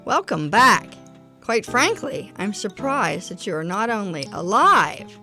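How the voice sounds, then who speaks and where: A woman playing a poised, commanding lady who leads a rebel sanctuary, speaking in a measured, formal way in an audio drama. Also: medium pitch, big pitch swings, bright, forceful tone, faint music behind, heavily acted. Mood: cool, curious. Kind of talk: scripted audio drama dialogue.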